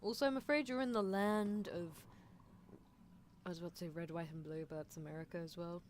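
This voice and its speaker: A woman's voice: on the low side, fairly even intonation, fairly quick, slight background noise, lightly acted. A woman playing a playful, bossy British female scientist in an ASMR roleplay, teasing and coaxing with clinical asides. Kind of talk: ASMR roleplay livestream in character.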